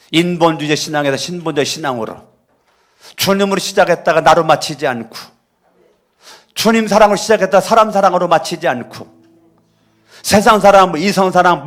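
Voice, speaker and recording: A man preaching a sermon as pastor in a church, moving between quiet storytelling and loud, fervent exhortation. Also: on the high side. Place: church sanctuary.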